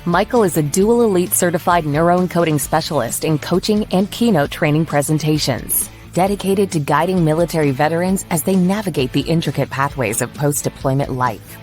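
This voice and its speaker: Low-voiced woman announcer over guitar. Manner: measured, polished delivery. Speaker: show announcer. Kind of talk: scripted show intro. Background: soft guitar music.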